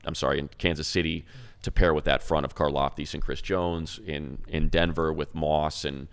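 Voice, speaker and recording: Very deep, gravelly American man talking football. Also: level tone, moderate pace. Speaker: sports podcast co-host. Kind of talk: casual football analysis.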